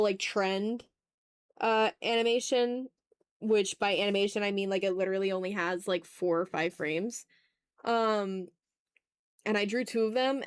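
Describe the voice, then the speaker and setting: A woman's voice: mid-range voice, clean tone, no echo. Casual woman streamer, dry close sound. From Southern United States. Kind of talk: casual livestream chat while drawing.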